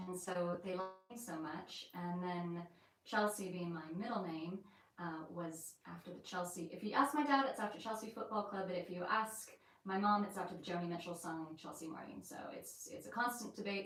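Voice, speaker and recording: Woman vlogger, American with a touch of Yorkshire, rambling and casual, lowish voice, heard from a little way off in a booming room. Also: fairly bright tone. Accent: American mixed with light Yorkshire. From England and the United States. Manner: chatty and rambling, with many fillers. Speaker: vlogger hosting a live stream. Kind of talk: casual live-stream chat. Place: indoors in an old house. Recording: very echoey room, mic at a distance.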